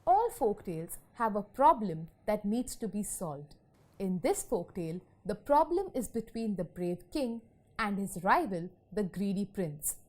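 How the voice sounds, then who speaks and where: A woman's voice: mid-range voice, sing-song, unhurried, a little mellow. A woman reading a scripted educational narration for children in an explanatory, storytelling manner. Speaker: lesson narrator.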